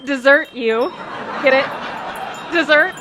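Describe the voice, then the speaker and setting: A woman's voice: high voice, very noisy, full character voice. A woman playing a goofy, childlike, scatterbrained space pilot who rambles in a sci-fi comedy radio drama. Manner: childlike, rambling, giddy or drowsy.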